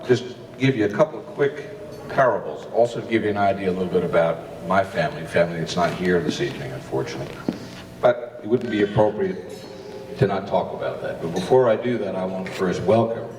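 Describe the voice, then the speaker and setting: A man's voice: low voice, a little mellow. A man in his fifties with a Texan accent giving a joking, storytelling wedding speech in a large ballroom. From Texas. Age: 50s. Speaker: father giving a wedding toast. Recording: echoey ballroom from far off, noisy.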